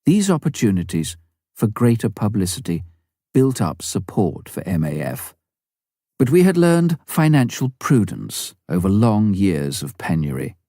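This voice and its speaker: Mellow male audiobook narrator, low and expressive. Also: dry recording, clean studio sound. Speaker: audiobook reader.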